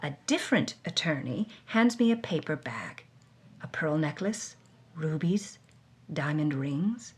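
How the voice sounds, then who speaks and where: A woman's voice: low-pitched, lively intonation, a little slow, breathy edge, heavily acted. An actress playing a hard-bitten, world-weary woman reading her journal with wry, dramatic emphasis, as first-person audio drama narration. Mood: defiant, rattled.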